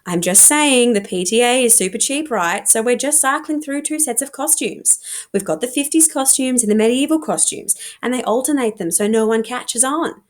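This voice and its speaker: A woman's voice: on the high side, normal speed, pitched above the performer's own voice, natural voice. Woman reading as a dramatic teenage boy. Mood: smug, theorizing. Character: teenage boy best friend, theatrical, teasing, self-assured.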